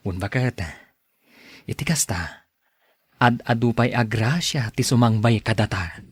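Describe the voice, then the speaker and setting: A man's voice: mid-range voice, unhurried, husky, no room sound, clean studio sound. A man acting a devoted, generous young husband, eager to please, in an Ilocano-accented radio drama. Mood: proud, eager.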